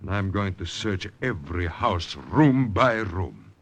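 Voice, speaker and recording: An actor playing a hard-bitten, vengeful partisan in an old-time radio drama. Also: male voice, sits low, lively intonation, a little slow. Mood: grim vengefulness.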